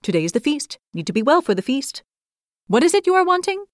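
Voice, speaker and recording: A woman's voice: a little high, lively intonation, slightly rough, voice pushed up. A woman doing a twitchy chattering squirrel. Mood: hurried. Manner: rapid-fire, eager questions.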